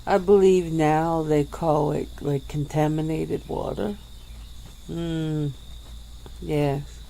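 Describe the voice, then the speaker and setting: A woman voicing a centuries-old female vampire who speaks slowly and softly, with breathy little laughs, in an ASMR roleplay. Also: low voice, fairly even intonation, exaggerated voice, low-quality recording. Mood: teasing, languid. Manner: slow, soft-spoken, with breathy little laughs.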